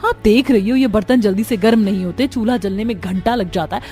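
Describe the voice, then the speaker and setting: A woman voicing a young Indian daughter-in-law, polite but easily frustrated, in an earnest, expressive cartoon family story. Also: medium pitch, quick pace, faint music behind, natural voice.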